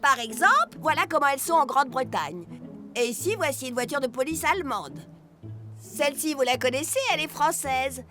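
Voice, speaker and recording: A woman's voice: high-pitched, expressive, a little fast, pushed and bright, faint music behind. A woman playing a cheerful, curious presenter who teaches young children in an educational cartoon. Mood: informative.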